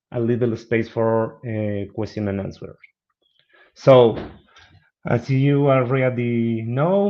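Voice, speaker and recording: A middle-aged man from Argentina with an Argentine Spanish accent, presenting as a guest speaker in a webinar. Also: medium pitch. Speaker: guest speaker, business analyst. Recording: dull lo-fi online call audio.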